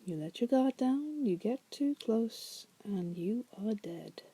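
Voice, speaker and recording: Woman acting a breathy, very dark-toned seductive demoness. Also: fairly high pitch, animated, slow pace, no room sound, full character voice. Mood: flirtatious warning. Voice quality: soft, breathy. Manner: teasing, coaxing, condescending, often dropping to a whisper. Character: bored, manipulative, flirtatious caged female demon prisoner. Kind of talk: ASMR roleplay monologue to the listener.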